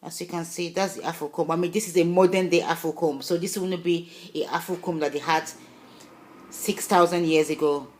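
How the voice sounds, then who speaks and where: A woman's voice: fairly low pitch, steady tone, brisk. Emphatic woman vlogger in an echoey room. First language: not English. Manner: emphatic and insistent, loose rambling asides. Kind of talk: casual video talk to viewers.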